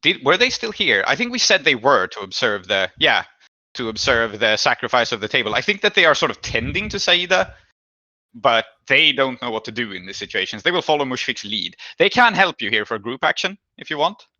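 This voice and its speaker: Guy game mastering a tabletop rpg, really bright sharp voice, kinda deliberate, very dry recording. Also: medium pitch, sing-song, no room sound, dull audio. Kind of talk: tabletop game narration and rulings.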